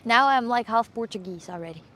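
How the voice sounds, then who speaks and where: A woman from Russia, streaming casual chat on a street with her phone, excitable and playful. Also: a little high, fairly quick.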